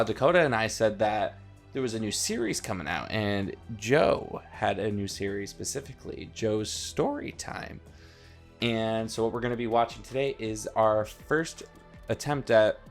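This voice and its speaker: A man's voice: lowish voice, expressive, moderate pace. A man giving a casual, informal intro as the host of an online video. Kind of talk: casual vlog intro to camera. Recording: background music under the voice.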